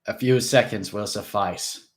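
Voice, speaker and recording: A man reading aloud, voicing a stern old male vampire who speaks in a formal, grave, measured way. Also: lowish voice, lightly acted. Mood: fond farewell.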